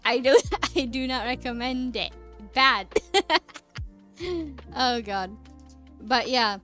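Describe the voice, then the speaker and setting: A woman's voice: fairly high pitch, slow pace, a bit bright, soft background music. A young woman from the Republic, chatting casually on stream while she draws, rambling, laughing often and hunting for words. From Czech Republic. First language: Czech. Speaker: artist streamer. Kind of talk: casual chat while drawing.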